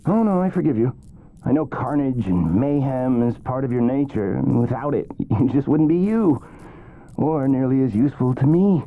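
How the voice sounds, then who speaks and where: An actor playing a peevish, condescending scientist in a video game, sarcastic and self-important. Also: male voice, medium pitch, expressive, moderate pace, narrow, tinny audio, close to an everyday voice. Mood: mocking. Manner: sarcastic, haughty. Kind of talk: video game character dialogue.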